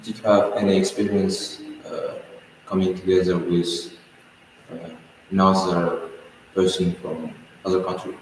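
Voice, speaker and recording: Teen boy student, halting exam answers, deep and slow, recorded in an echoey classroom with a distant mic. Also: little pitch movement, darkish tone, slight background noise. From Kazakhstan. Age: teens. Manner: halting, many filler sounds. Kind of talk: spoken English exam answers. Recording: echoey room from far off.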